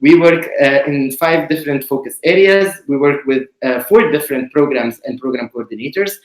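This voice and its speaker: A man from Tunisia hosting an online presentation, explaining in a measured way with frequent pauses. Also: fairly high pitch. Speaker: webinar host, committee director. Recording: roomy echo.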